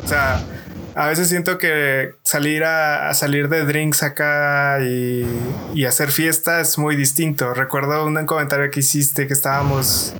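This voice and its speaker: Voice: medium pitch, a little slow. A speaker, telling anecdotes casually as co-host. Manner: storytelling, laughing, animated in anecdotes. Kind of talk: casual chat.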